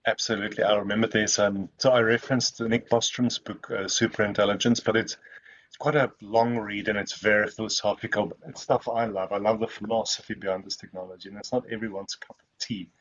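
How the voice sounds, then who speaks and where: Afrikaans-speaking man, low muffled voice. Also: steady tone, a little fast, a bit bright, a little hiss or hum. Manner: rambling, many restarts and fillers. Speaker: guest speaker. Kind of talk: webinar Q&A answer. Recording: muffled lo-fi call audio.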